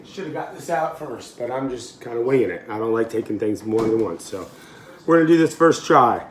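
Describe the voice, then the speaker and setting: A man's voice: medium pitch, lively intonation, brisk, a little hiss or hum. A man in his late thirties, a fitness coach, chatting casually in a home cooking vlog, rambling and correcting himself with easy laughs. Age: 30s.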